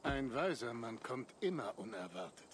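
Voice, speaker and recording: A sardonic, lively-toned assassin. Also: male voice, medium pitch, normal speed, a little hiss or hum. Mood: dry, mocking. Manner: dry, sardonic. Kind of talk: dramatic video game dialogue.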